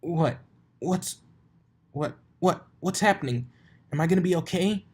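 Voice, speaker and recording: A man's voice: on the high side, a little slow, husky, pitched above the performer's own voice. A man voicing a brash, teasing young female pegasus pony from a cartoon story, cocky and easily rattled. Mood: panicked pleading. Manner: cocky, mocking, exclamatory.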